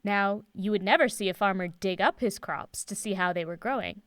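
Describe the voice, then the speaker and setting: A woman playing a self-assured, cryptic professor in an audio drama, speaking in a measured, guarded, teacherly way. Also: mid-range voice, no echo, studio quality, lightly acted. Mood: patient, instructive.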